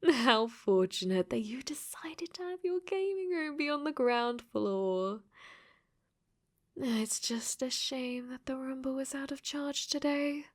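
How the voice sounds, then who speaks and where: A woman voicing a clingy, possessive dating-sim AI in a robot body for an ASMR roleplay, sweetly menacing and breathy, often dropping to whispers. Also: sits high, takes it slowly, voice pushed up. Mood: smug, playful. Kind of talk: ASMR audio roleplay acting.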